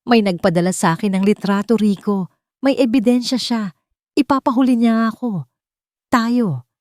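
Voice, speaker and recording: A woman's voice: a little high, moderate pace, a little mellow, dry recording, pitched above the performer's own voice, natural voice. A guilty, fearful younger woman from an audio love drama, acted by a female narrator. She speaks with urgency, in a dry, close recording. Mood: panicked, tearful.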